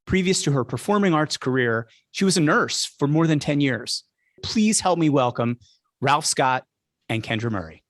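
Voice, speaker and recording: A man's voice: fairly high pitch, animated, moderate pace, dry recording. Dry, close-sounding male podcast host. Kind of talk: scripted introduction and interview questions.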